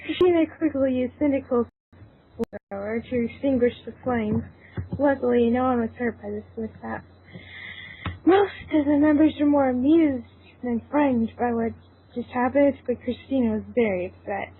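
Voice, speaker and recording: Thin phone-line sound, high-voiced woman narrator. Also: normal speed, a little hiss or hum. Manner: informal, breaks into giggles, stumbles over lines. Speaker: narrator of a fan fiction reading. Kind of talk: scripted story reading with giggly ad-libs.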